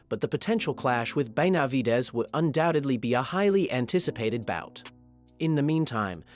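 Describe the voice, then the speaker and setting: A man reading scripted sports news narration in an even, steady way. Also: mid-range voice.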